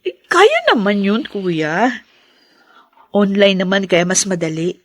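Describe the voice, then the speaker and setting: A woman playing an affectionate, playful young daughter in a radio drama, with sweet, expressive delivery. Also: medium pitch, takes it slowly, dry recording, full character voice. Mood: cheerful. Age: young adult.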